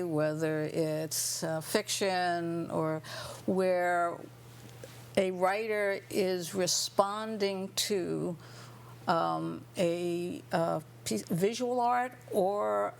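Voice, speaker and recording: A woman talking as an interview guest, thoughtful and unhurried, with many pauses and fillers. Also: fairly low pitch, vocal fry, slight background noise. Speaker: guest artist and writer.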